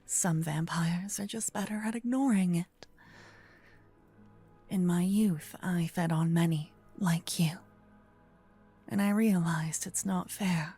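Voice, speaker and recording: A woman voicing a gentle, possessive old female vampire in an ASMR roleplay, murmuring and whispering close to the microphone. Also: on the low side, full character voice. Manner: breathy, slow whispering and murmuring. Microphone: close binaural microphone.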